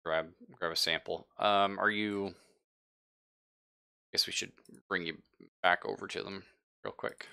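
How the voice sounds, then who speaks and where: A man acting as game master, narrating casually and haltingly as he thinks aloud. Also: deep voice, fairly even intonation, gravelly, bright, forceful tone, clean tone. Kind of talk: casual narration of a tabletop roleplaying game, live stream.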